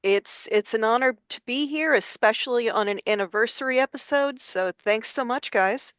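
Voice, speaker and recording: A woman's voice: a little high, moderate pace, fairly bright tone, clear, resonant tone, no room sound. A woman speaking casually as a podcast guest over a dull connection. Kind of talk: casual chat.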